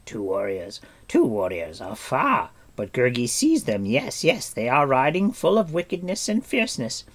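A man's voice: mid-range voice, huge pitch swings, moderate pace, pitched above the performer's own voice. A man voicing a hungry, fawning hairy forest creature who whines and wheedles. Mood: pleading. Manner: wheedling, talks of himself by name.

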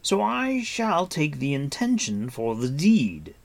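A man playing a noble, wise warrior lord who speaks formally with measured authority in a storybook reading. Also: a little high, wildly swooping pitch, creaky, voice pushed up, full character voice. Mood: gently chiding. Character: noble, wise, commanding warrior lord, grave but gentle.

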